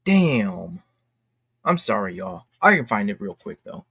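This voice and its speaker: An American man giving casual, unscripted online commentary in a halting, repetitive, slangy way. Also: lowish voice, big pitch swings, gravelly, bright, forceful tone, breathy edge. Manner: halting and repetitive, slangy, addressing viewers as y'all. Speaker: online video commentator and host. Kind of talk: casual unscripted commentary, reading posts aloud.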